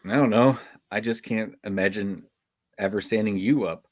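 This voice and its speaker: A man's voice: on the low side, normal speed, dry recording, natural voice. A man acting an earnest, awkward, hopeful young male camp counselor in a horror script table read. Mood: awkwardly tender.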